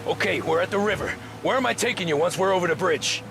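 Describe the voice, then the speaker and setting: An actor playing a working-class American cab driver in a crime game, wary but wry, with a streetwise, plain-spoken way of talking. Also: male voice, high voice, animated, fast, gravelly, background noise. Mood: tense, focused. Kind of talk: cinematic game voice acting.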